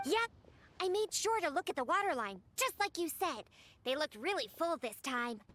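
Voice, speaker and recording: An actor, a woman, playing an excitable, chatty young child in a video game, eager and bubbly. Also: high voice, normal speed, slight background noise. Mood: proud, eager. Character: excitable chatty little child, cheerful informant of a lady. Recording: game audio under prominent music.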